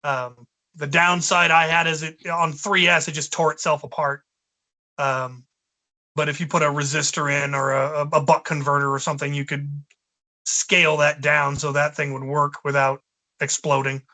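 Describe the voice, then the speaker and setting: A man's voice: on the high side, little pitch movement, normal speed, sharp tone. A man in his early forties and co-host of a hobby podcast, chatting casually and thinking aloud, with many fillers and restarts. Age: 40s. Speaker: co-host, blaster modder. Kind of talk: casual chat.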